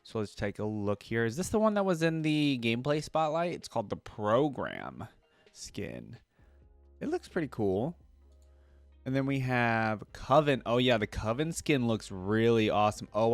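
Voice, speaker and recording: A man's voice: medium pitch, sing-song, measured pace, no echo, faint music behind. A man hosting a reaction video, chatting casually and thinking aloud in an informal way.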